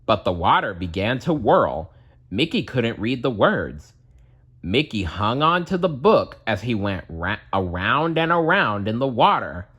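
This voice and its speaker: A man reads a children's story aloud with deliberate drama. His voice is husky and unhurried, and the intonation rises and falls very widely. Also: fairly low pitch, sing-song, fairly bright tone. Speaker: storybook narrator. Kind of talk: children's read-aloud narration.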